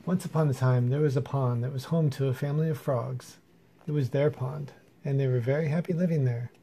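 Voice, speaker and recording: Husky, very muted-toned man reading aloud. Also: medium pitch, a little fast. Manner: animated, dramatic, with character voices. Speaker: storyteller reading a picture book. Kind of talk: expressive read-aloud of a children's story. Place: room in a house.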